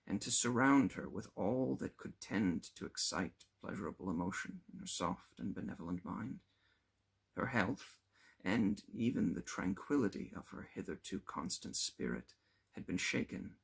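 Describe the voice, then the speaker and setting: A man narrates a classic novel in a deep voice full of gravelly fry. His tone is husky and rough around the edges. He reads in a measured literary manner, with lively rises and falls in pitch. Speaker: audiobook reader.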